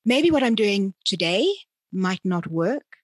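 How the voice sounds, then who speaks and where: A woman hosting a conversational interview, speaking deliberately and often rephrasing as she goes. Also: mid-range voice, animated, no echo, clean studio sound. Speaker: interviewer and podcast host.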